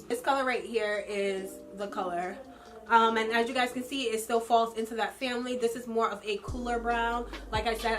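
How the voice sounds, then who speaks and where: A young woman losing her voice with a runny nose, chatting casually through a makeup product review as a vlogger. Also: on the high side. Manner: chatty, filler-heavy, personal opinions. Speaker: beauty vlogger.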